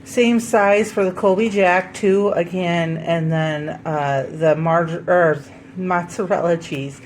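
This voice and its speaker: A woman's voice: on the low side, fairly even intonation, slow, a little hiss or hum. A woman vlogger with a stuffed-up head cold, chatting casually at home through a grocery haul and often correcting herself with ums. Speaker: homesteading vlogger.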